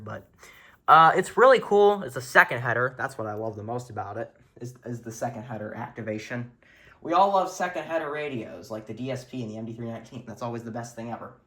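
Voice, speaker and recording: Bright-voiced man, rambling casually. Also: mid-range voice, very animated, quick pace. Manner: rambling, self-correcting. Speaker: hobbyist video host. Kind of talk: casual show-and-tell narration. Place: small furnished room at home, indoors. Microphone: handheld camera or phone, behind the lens.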